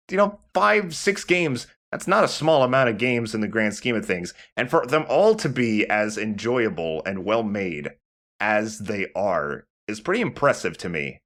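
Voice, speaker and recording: A man with a fairly low voice talks casually as a let's play host. His delivery is conversational and halting, as he works out his thoughts about games while speaking. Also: fairly bright tone. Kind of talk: casual gaming commentary.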